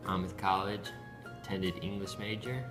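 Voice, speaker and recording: College guy from chicago, deep voice, lots of ums, music behind. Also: fairly even intonation, normal speed. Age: young adult. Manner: hesitant, lots of fillers. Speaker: student interviewee. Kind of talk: casual interview answers.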